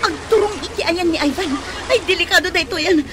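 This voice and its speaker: A woman's voice: very high-pitched, fast talker. A woman playing a panicked, bossy young woman in a radio drama disaster, exclaiming urgently. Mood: frantic. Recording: noisy outdoor sound effects, crowd behind.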